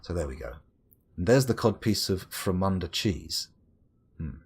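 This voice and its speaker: English guy chatting on a game stream, lowish husky voice, rambles and jokes, bounces all over in pitch. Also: a little slow. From England. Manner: rambling, chatty, self-mocking, lively swings into exclaimed bits. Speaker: gaming streamer reading chat. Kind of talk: casual live-stream chat.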